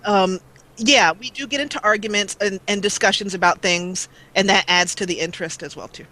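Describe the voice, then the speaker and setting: A woman guest on a live call-in interview, talking about her gaming videos in an animated, rambling way with frequent laughter. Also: medium pitch, fairly quick, rough recording. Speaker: guest, let's player. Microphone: call-in line.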